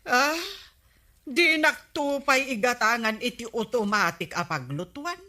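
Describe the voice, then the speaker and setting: Grumbly ilocano captain's wife, radio comedy, slow and drawn out but bright sharp voice. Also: female voice, a little high, expressive. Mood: gossipy. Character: overworked, grumbling village captain's wife, fond of scheming.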